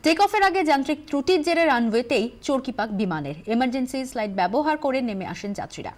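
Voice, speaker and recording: A woman news anchor reading headlines in the studio with crisp, dramatic delivery. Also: high voice, expressive, fast.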